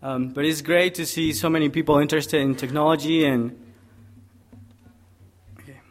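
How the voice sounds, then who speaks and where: A man's voice: fairly high pitch, steady tone, moderate pace. A young man from Peru, a graduate student, giving a technical conference presentation with many hesitations, explaining step by step.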